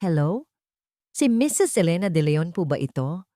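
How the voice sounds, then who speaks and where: A woman's voice: on the low side, very animated, moderate pace, mellow, no room sound, studio quality. Woman voicing a formal, professional male attorney. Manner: formal, polite.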